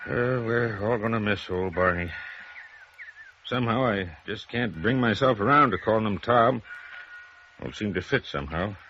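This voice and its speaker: An actor playing a shifty, nervous working man in an old radio noir, talking in a halting, folksy, uneasy way. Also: lowish voice, natural voice. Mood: subdued, folksy. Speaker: radio drama supporting actor. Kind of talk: 1950s radio noir acting. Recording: dull, noisy vintage radio recording.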